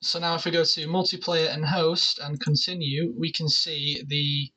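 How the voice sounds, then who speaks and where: Bright-voiced man giving a casual tutorial. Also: a little high, flat delivery, moderate pace, rough recording. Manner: explanatory, step by step. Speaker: tutorial presenter. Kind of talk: casual screen-recorded gaming tutorial.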